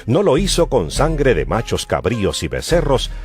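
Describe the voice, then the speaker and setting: A man's voice: medium pitch, wildly swooping pitch, normal speed. A man giving a solemn, emphatic dramatized narration of scripture as a Bible narrator. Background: music.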